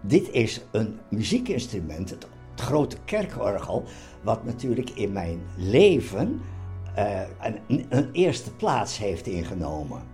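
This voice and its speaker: A man, reminiscing in casual chat, halting and repeating words. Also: lowish voice, very animated, dark tone, faint music behind. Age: 80s. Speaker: elderly father being interviewed.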